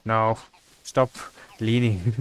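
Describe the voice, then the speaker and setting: A man's voice: fairly low pitch, fairly even intonation, drawn-out words, clean tone. A man doing live video game commentary as a streamer, casually muttering his thoughts aloud as he plays.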